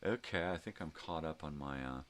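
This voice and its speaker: An older man hosting a casual, rambling livestream, thinking out loud in a folksy way. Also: rumbling low, fairly quick. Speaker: host of a daily livestream show.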